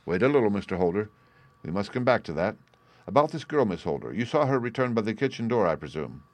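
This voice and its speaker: A shrewd gentleman detective from a classic storybook, as a male reader acts him. He questions with brisk courtesy and assured authority. The sound is dry and free of room echo. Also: lowish voice, big pitch swings.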